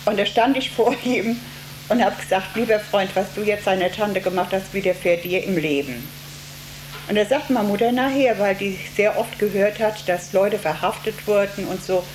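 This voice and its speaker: A woman's voice: medium pitch, moderate pace. A woman in her seventies or older giving a personal recollection as an eyewitness, retelling events plainly with remembered dialogue. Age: 70s or older. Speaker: eyewitness, concentration camp survivor. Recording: noisy interview recording with steady hiss.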